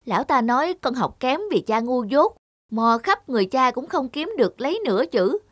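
A spoiled rich young man from an animated folk tale, voiced by a woman. He whines and sneers in a raised, pushy tone. Music runs softly behind. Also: high-pitched, moderate pace, pitched above the performer's own voice. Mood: sneering. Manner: whiny, scornful.

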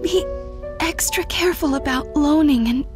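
Woman playing a high-voiced, tearful dying mother. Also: over music, close to an everyday voice. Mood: tender, tearful. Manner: halting, gentle. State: weak, breathy, tearful. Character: dying young mother, tender, doting, stubborn.

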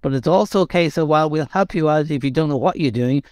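A man's voice: a little high, fast talker, darkish tone, no echo. A man as a guest expert in an interview, explaining steadily and repeating phrases for emphasis. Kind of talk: conversational interview.

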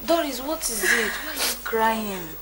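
A woman's voice: on the high side, lively intonation, measured pace. An actress playing a forgiving woman in an emotional film drama, pleading in short, insistently repeated phrases. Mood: gentle coaxing. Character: tearful forgiving woman comforting guests in her home. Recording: clearly noisy location sound.

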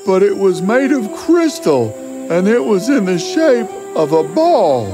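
An actor playing a big, dim-witted, childlike giant in an animated fairy tale, speaking simply and earnestly. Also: male voice, sits high, measured pace. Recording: faint music behind the voice.

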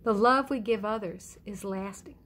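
A middle-aged woman pastor giving a conversational sermon at home in a measured, reflective way with deliberate pauses. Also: medium pitch, fairly bright tone, a little hiss or hum. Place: indoors in a living room at home. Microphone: phone or webcam at arm's length.

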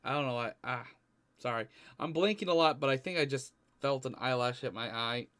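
A young man with a clean, smooth voice at an average pitch hosts a reaction video. He talks casually and informally to his viewers. He is recorded indoors at home. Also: brisk, fairly bright tone. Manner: informal, mild swearing. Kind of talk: casual live reaction commentary, some reading aloud. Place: small indoor room at home.

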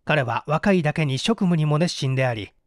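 A man's voice: a little high, lively intonation, brisk, no room sound, studio quality. A man reading scripted literary narration as an audiobook narrator. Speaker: audiobook narrator, stage actor.

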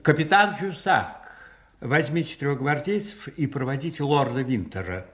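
A man's voice: a little high, animated, normal speed, a bit bright. An actor playing a composed, courteous cardinal and statesman in a radio play, formal and authoritative. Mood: composed, commanding.

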